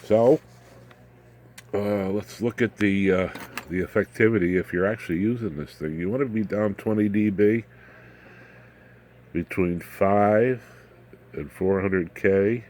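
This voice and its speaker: A man giving an informal, unscripted electronics demonstration, haltingly, muttering asides as he works with his hands. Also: on the low side, a little mellow, a little hiss or hum. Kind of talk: informal unscripted technical show-and-tell.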